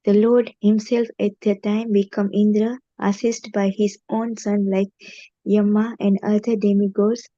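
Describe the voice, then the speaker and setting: A woman reads a translation aloud in a hesitant way, often stumbling over names. Her voice is flat and mellow, with very little change in pitch. The sound is dry and muffled, like a lo-fi recording. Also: a little slow, no echo. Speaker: student reader. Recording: dull, lo-fi sound.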